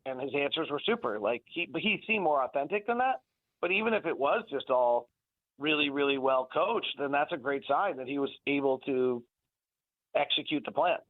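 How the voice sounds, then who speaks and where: A man with a slightly high voice analyzes basketball on a sports radio show. He sounds like he is on a narrow phone connection with no room sound. His delivery is conversational and analytical, with words repeated for emphasis. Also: lively intonation, moderate pace, no echo. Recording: thin phone-like band-limited line.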